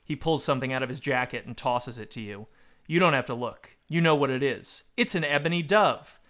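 A man hosting a casual conversation in an animated, emphatic way, sometimes reading aloud. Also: medium pitch, a little fast. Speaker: podcast host. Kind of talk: casual chat, some reading aloud from a book.

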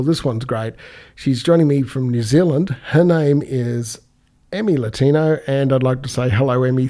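Easygoing radio host. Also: male voice, mid-range voice, warm tone. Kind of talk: radio interview.

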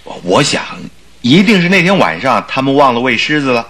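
Detective's argumentative companion, radio drama, mid-pitched, bright forceful tone, stubborn, theatrical, insistent. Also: male voice, sing-song, a little fast. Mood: flustered. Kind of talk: Chinese radio drama acting.

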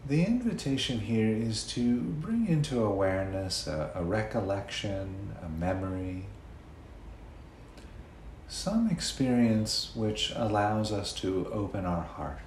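A low-pitched man guides a meditation gently and without hurry. His phrases come with long, restful gaps between them. The room adds a clear echo, and there is faint noise in the background. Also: very animated. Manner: gentle, unhurried, with long pauses.

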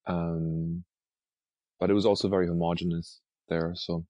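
Man from Germany, very deep voice, dry sound, soft-spoken, hesitant, lots of ums. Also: little pitch movement, moderate pace, no room sound. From Germany and Norway. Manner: soft-spoken, hesitant, many fillers and restarts. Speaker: artist guest. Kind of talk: casual interview answers.

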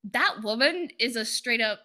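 A woman's voice: fairly high pitch, lively intonation, normal speed, sharp tone. A woman co-hosting a podcast, speaking bluntly and outspokenly in casual chat.

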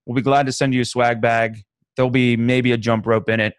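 A man's voice: mid-range voice, flat delivery, a little fast, clear, resonant tone, no echo, studio quality. Joking, profane male podcast host. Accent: Pittsburgh. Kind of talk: casual podcast banter.

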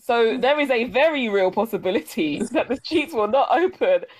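A woman's voice: on the high side, expressive, moderate pace, low-quality recording. A woman in her late twenties co-hosting a chatty podcast, candid and reflective, and she speaks to the listeners directly. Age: late 20s. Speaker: podcast co-host. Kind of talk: casual chat.

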